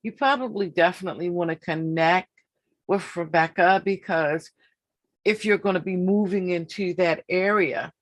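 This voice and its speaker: Woman host, fifties, low-pitched, deliberate and emphatic, repeats phrases for weight. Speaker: podcast host. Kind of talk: casual interview.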